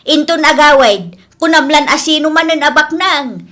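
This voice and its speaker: Actress as scornful, nagging mother-in-law, Ilocano accent, high and bright, sarcastic radio drama delivery. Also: normal speed. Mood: grumbling. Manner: scolding, sarcastic.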